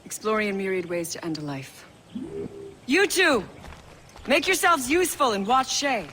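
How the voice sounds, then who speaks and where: A woman's voice: a little high, sing-song, normal speed, a bit bright, slight background noise. A woman playing a stern, proud assassin combat teacher in a video game, commanding and teasing, grudging with praise. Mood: sharp, commanding. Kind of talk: video game cutscene acting.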